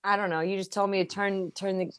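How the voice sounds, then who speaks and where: A woman's voice: mid-range voice, level tone, a little fast, smooth, dry recording. A woman chatting casually as a radio co-host, with a blunt, informal way of talking. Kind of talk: casual chat. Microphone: built-in laptop mic.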